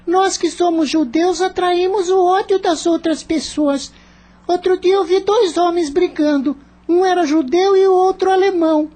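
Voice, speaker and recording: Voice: very high voice, steady tone, normal speed, warm tone. A performer playing a shy, thoughtful seven-year-old boy in a radio play, earnest and full of questions. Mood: earnest, troubled.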